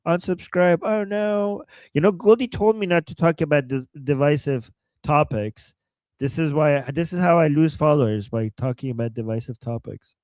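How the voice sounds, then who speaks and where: A man with a Persian accent co-hosting a live stream, reading out chat messages and reacting with animated, joking commentary and frequent laughter. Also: sits high, clean tone, no echo.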